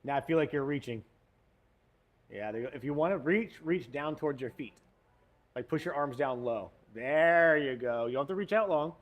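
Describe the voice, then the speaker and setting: A man's voice: on the high side, lively intonation, moderate pace. A man coaching a golf lesson, giving short cues and encouragement as the student swings. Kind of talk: hands-on golf lesson instruction.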